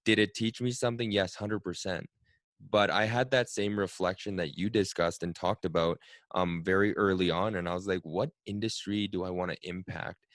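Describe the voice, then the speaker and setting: Brief: male host, 30s, Cantonese native, very low voice, dry close sound, musing and chatty. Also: steady tone, moderate pace, fairly bright tone, dry recording. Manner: reflective, frequent "right?" tag questions. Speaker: podcast host. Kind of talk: casual conversational interview.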